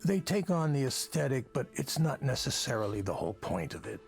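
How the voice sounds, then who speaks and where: Casting: self-assured older executive in a visual novel, suggestive and persuasive, with creaky, animated delivery. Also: male voice, medium pitch, a little fast, faint music behind. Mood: suggestive, sly. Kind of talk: visual novel game voice acting.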